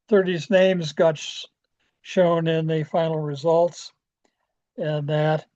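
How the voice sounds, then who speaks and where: A man giving an informal training presentation in a halting, folksy, plain-spoken way, with frequent hesitations. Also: a little high, mellow. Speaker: amateur radio club presenter. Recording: dull, lo-fi audio.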